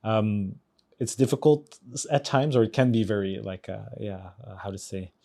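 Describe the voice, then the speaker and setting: A man with a Dutch accent in casual podcast chat, halting with fillers and restarts. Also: fairly low pitch, warm tone. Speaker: podcast co-host. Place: Japanese company.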